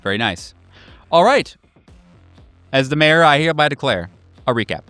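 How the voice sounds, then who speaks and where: A man running a horror tabletop game, narrating atmospherically in a measured, deliberate way. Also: on the high side, very animated, pushed and bright, dry recording, soft background music.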